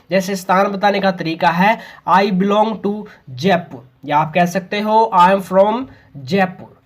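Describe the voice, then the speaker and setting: A man with an Indian accent giving a spoken English lesson. He mixes in English phrases and says them slowly and deliberately as examples. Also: high-pitched. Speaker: teacher.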